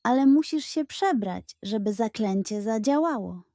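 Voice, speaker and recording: A woman's voice: on the high side, lively intonation, a little slow, mellow, no echo. A woman voicing a cheerful, know-it-all young female goat with a chirpy, teasing delivery.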